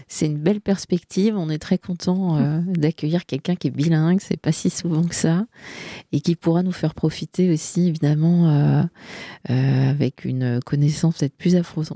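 Woman radio host, low mellow voice, dry studio sound, chatty with lots of hesitations. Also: steady tone, normal speed, slightly rough, dry recording. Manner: chatty, hesitant, many fillers. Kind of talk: casual radio chat.

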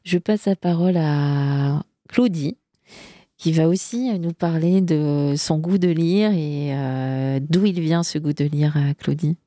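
A woman's voice: low-pitched, takes it slowly, dark tone, no echo, studio quality. A woman hosting a radio chat show about reading, chatty and hesitant with many fillers. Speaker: radio host. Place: radio studio.

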